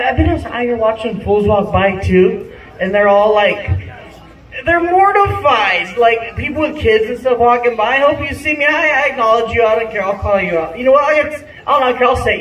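A man's voice: very high voice, expressive, moderate pace, a bit bright. A man in his thirties doing rambling, self-deprecating stand-up at an open mic, laughing at his own jokes, on a handheld mic. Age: 30s. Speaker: stand-up comic. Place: small bar-like comedy venue indoors. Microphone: handheld mic, phone filming from the audience. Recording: roomy venue sound from a distant phone.

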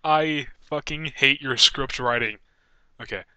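A man's voice: medium pitch, measured pace, sharp tone, clear, resonant tone, no room sound. A young man recording amateur voice acting takes, stumbling over his script and swearing in exasperation. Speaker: amateur voice actor. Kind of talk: reading script takes for a fan animation, breaking off to swear.

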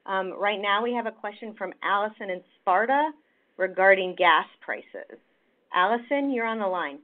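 A woman's voice: medium pitch, animated, normal speed. A woman moderating a telephone town hall, introducing callers and reading out questions. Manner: reads questions out clearly. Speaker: staff moderator. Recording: tinny phone line.

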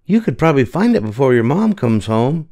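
A man voicing an eager, excitable young boy rabbit in a children's storybook. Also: medium pitch, fairly quick, voice pushed up, natural voice. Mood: reassuring.

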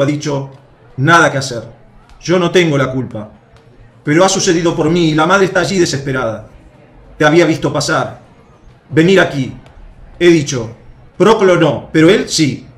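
Man acting as a raging, urgent Roman soldier for a radio play, higher voice, echoey room. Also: natural voice. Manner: shouting, insulting, urgent. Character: angry Roman soldier, rough and desperate. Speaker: Alejandro.

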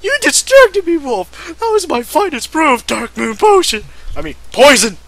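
Man putting on a shrill little witch, scolding. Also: very animated, moderate pace, a bit bright, heavily acted. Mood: outraged. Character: small hot-tempered young witch woman.

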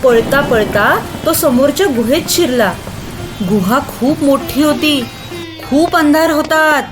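High-voiced woman telling a story. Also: takes it slowly, music in the background. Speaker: narrator. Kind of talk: expressive children's storytelling narration.

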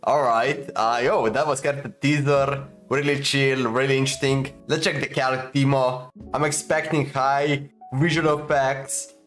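A man's voice: medium pitch, little pitch movement, normal speed, few pauses, fairly bright tone, faint music behind. A man in his twenties giving casual, slangy, exclamation-filled reactions as a video host, recorded close up in a room at home. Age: 20s. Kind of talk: casual excited reaction commentary. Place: home gaming room, indoors.

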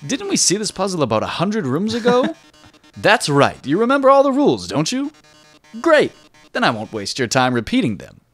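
A hammy robot showman, played by a man, melodramatic and gloating. His voice leaps through a wide pitch range, with soft game music behind. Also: huge pitch swings, moderate pace, exaggerated voice. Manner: theatrical, melodramatic, mock-grieving. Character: hammy robot TV host, theatrical villain.

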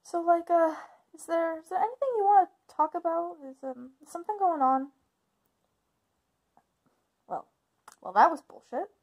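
A woman playing a high-voiced, caring big sister. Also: moderate pace, pauses often, darkish tone. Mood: concerned. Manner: hesitant, informal, reassuring. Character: caring, casual big sister, a young witch in a coven. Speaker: voice actor in ASMR roleplay. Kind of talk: ASMR roleplay, conversational acting.

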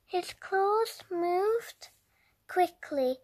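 A young-sounding reader telling a children's story aloud with deliberate, dramatic emphasis. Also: extremely high pitch, animated, a little mellow. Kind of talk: expressive read-aloud narration for young children.

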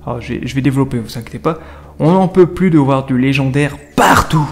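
A man's voice: medium pitch, fairly quick. A man, giving a casual, slangy video game review with conversational asides to viewers. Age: 30s. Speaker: gaming video creator and reviewer. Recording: music under the voice. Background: music.

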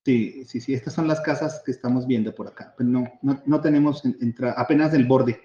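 A man's voice: mid-range voice, fairly quick, mellow. A chatty man walks through his screen in a casual live demo, repeating small words and laughing easily. His voice carries a roomy echo, and the audio is a little muffled. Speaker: workshop presenter sharing his screen. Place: video call. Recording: echoey room, slightly dull audio.

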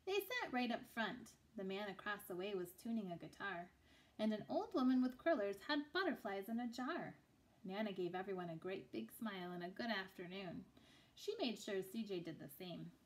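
A woman's voice: medium pitch, lively intonation, normal speed, poor audio. A woman in her thirties reading a children's story aloud indoors. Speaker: picture book reader. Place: small room indoors.

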